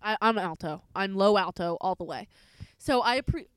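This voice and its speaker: A woman's voice: medium pitch, animated, normal speed, smooth. A young woman co-hosting a podcast, chatting casually and rambling with fillers and restarts. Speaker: podcast co-host. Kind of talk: casual chat.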